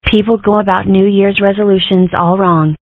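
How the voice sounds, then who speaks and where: A woman's voice: medium pitch, level tone, moderate pace, no room sound, natural voice. A woman voicing a cheeky, contrary young girl fox in an amateur comic dub, delivering dry jokes matter-of-factly. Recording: muffled, phone-like narrow sound.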